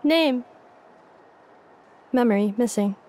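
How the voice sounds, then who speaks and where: Slow, halting female robot. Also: a little high, lively intonation, background noise. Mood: flat, robotic. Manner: halting, clipped one- and two-word phrases. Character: newly woken female robot messenger, flat and literal. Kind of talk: video game voice acting.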